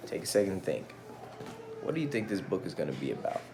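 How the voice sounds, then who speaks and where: A male teacher reads a picture book aloud with very lively intonation. He speaks to children in casual asides, with faint noise behind his voice. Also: sits low, quick pace.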